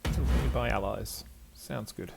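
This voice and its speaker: An older man plays a video game and comments casually. His voice is gravelly, and he speaks in short, matter-of-fact mutters. There is faint noise and some clicking behind him. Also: fairly low pitch, a little slow. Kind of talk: casual low-key gameplay commentary.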